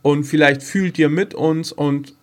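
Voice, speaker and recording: A man's voice: fairly high pitch, fairly even intonation, measured pace. A man chatting casually as a podcast co-host, rambling informally and talking straight to the listeners.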